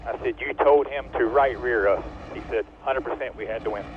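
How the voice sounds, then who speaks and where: A man's voice: mid-range voice, a little fast. Man on muffled team radio, faint noise. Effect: race radio. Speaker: race team member on radio.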